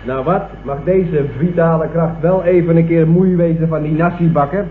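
Man playing a cheeky, high-voiced young scoffer. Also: steady tone, moderate pace, soft background music, lightly acted. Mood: mocking, scornful. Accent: Dutch, from the Netherlands. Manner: mocking, slangy. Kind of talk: 1960s-70s radio comedy acting. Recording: tinny old radio recording, audience behind.

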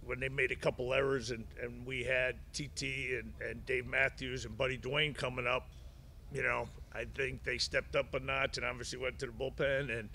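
A man's voice: medium pitch, pushed and bright, a little hiss or hum. A middle-aged man, a head baseball coach, answering press conference questions at a table mic, often restarting and repeating words. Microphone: table mic in front of him.

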